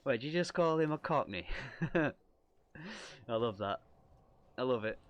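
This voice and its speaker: A man's voice: medium pitch, expressive, a little slow, muffled recording. A young man with a Lancashire accent, a streamer chatting casually and reading viewer questions aloud, self-deprecating and rambling. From Lancashire, England. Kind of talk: casual livestream chat answering viewer questions.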